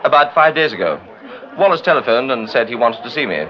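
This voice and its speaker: An actor playing a well-mannered, evasive English gentleman with a Received Pronunciation accent, curious and slightly hesitant, in an old radio drama style. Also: mid-range voice, expressive, fast talker, natural voice. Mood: affable, puzzled. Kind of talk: mid-20th-century BBC radio drama acting. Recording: dull vintage radio recording.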